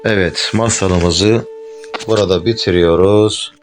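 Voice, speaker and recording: A man gently telling a fairy tale to a child, addressing the listener directly. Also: deep voice, steady tone, slow. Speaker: storyteller. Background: faint flute music.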